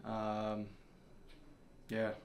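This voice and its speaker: A young man, a soccer player, answering questions at a post-match press conference in a subdued, halting way with many fillers. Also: on the low side, completely flat delivery. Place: press room before a sponsor backdrop.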